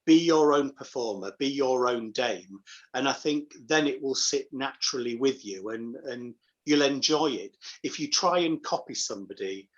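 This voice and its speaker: A man's voice: mid-range voice, lively intonation, moderate pace, lo-fi sound. A middle-aged man giving reflective, anecdotal answers as a pantomime performer in a casual interview.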